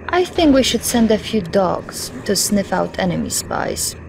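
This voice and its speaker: An actress playing a young, efficient Soviet intelligence officer briefing in a video game. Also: female voice, mid-range voice, sing-song, unhurried, vocal fry, background noise. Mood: businesslike.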